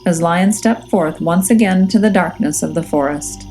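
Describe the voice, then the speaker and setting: Mellow woman narrating a children's storybook. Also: lowish voice. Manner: measured, expressive, suspenseful. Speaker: teacher reading aloud. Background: music and nature sounds.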